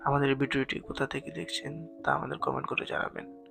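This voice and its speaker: A man's voice: medium pitch, wildly swooping pitch, a little fast, creaky, over music. A man narrating a scripted horror story in a dramatic, suspenseful way as the storyteller.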